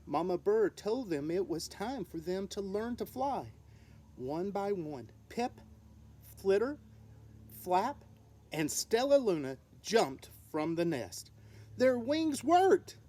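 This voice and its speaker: A woman reading a children's story aloud with expressive, dramatic narration. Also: on the low side, slow pace. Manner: expressive, dramatic delivery with sound words. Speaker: storybook reader.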